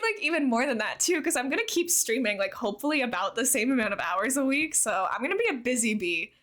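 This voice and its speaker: A woman's voice: fairly high pitch, fairly bright tone. A young woman livestreamer chatting casually with her viewers in a bubbly, talkative way. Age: young adult. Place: live game stream.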